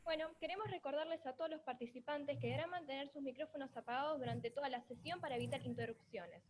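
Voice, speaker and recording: A woman's voice: high-pitched, quick pace, a little hiss or hum. A young woman from Argentina with an Argentine accent, a volunteer giving announcements over a video call with some hesitation. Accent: Argentine Spanish. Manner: hesitant, fillers.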